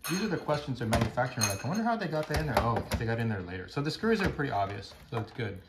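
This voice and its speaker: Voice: mid-range voice, big pitch swings, racing. A host of a gadget teardown video giving casual hands-on commentary in a rambling, wry, mildly profane way. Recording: noisy room, clicking tools nearby.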